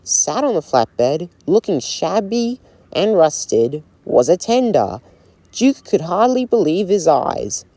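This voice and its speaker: A man narrating a railway storybook as a scripted read, in a slow, gentle storytelling manner. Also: high-pitched, big pitch swings, no echo.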